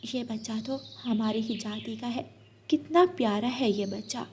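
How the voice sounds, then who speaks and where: A woman voicing a gentle, caring mother bird in a children's cartoon, soft-spoken and tender. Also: a little high, moderate pace, background noise, natural voice. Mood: loving. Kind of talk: Hindi children's cartoon voice acting.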